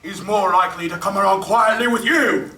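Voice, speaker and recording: A man's voice: high voice, fairly quick, bright, forceful tone. A man playing a brutish, short-tempered thief in a radio play on stage. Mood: threatening. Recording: distant echoey stage, faint noise.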